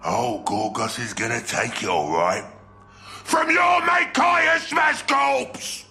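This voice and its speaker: An actor voicing a brash, greedy alien ork war boss in a video game, with a growling Cockney voice and crude, bellowing manner. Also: male voice, medium pitch, takes it slowly, long reverb tail, full character voice. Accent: Cockney English. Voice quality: gravelly, growling. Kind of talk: video game cutscene acting. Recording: loud music behind.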